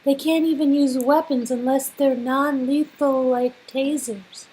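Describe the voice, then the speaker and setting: A woman's voice: high-pitched, fairly even intonation, a little slow, warm tone. A woman performing game dialogue in an amateur acted reading, with some noise behind her. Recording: noisy, ambience behind.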